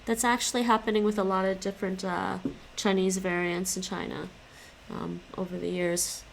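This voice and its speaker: A woman's voice: medium pitch, flat delivery, normal speed, gravelly, slight background noise, poor audio. A young woman chatting casually with stream viewers while she studies languages. She stops and restarts often and laughs a lot. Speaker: live streamer studying languages.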